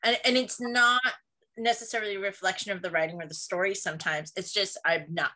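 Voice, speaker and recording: A woman talking casually in a relaxed group conversation. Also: mid-range voice, a little fast. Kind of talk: casual chat.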